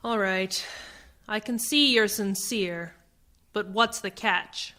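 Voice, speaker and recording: An actress playing a suspicious, sharp-tongued female fugitive in a radio science fiction drama, speaking in a crisp, pointed way. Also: medium pitch, takes it slowly, music in the background, close to an everyday voice. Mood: wary, urgent.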